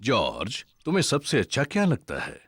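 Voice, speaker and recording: A man's voice: medium pitch, wildly swooping pitch, moderate pace, fairly bright tone, slightly rough, dry recording, clean studio sound, exaggerated voice. A man voicing a jolly, reassuring father pig in a children's cartoon, with a hearty, playful manner.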